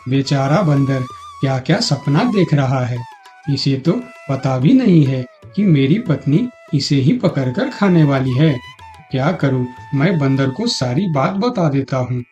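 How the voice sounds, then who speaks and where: Meek, guilt-torn crocodile husband for a storybook cartoon, male actor; mellow, swooping delivery, earnest and pleading. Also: medium pitch, animated, unhurried, lightly acted. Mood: guilty. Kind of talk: Hindi moral-story cartoon acting. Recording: dull lo-fi audio, music behind.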